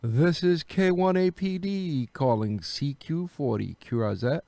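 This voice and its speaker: A man's voice: on the high side, unhurried, creaky, warm tone, dry recording. A man who is an amateur radio operator, chatting casually and making radio calls. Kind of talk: casual chat and radio calling with phonetic alphabet.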